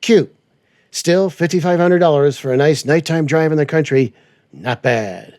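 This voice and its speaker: A man's voice: on the high side, moderate pace, a little mellow. A man hosting a semi-scripted trucking show in a playful, upbeat manner. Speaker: show host in trucking persona.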